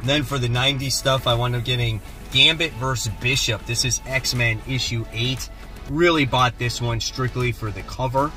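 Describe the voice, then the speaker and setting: A man's voice: medium pitch, moderate pace, faint music behind. Chatty man talking in a parked car. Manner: relaxed, chatty, enthusiastic about his finds. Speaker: comic book channel host. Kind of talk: casual vlog chat. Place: parked car, then outdoors and inside a shop. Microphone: phone camera at arm's length.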